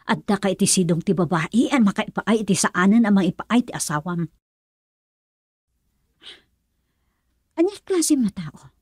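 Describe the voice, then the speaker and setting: A woman's voice: mid-range voice, animated, brisk, a little mellow, husky, no echo, clean studio sound. A Filipino-accented woman acting an indignant friend in a radio drama, reproachful and emphatic as she scolds.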